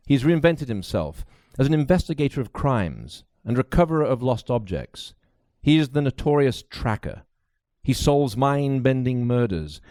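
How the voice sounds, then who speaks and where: A man's voice: mid-range voice, dark tone, no echo, studio quality. A man reading a mystery novel aloud as a dramatic, scripted narration. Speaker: audiobook narrator, actor.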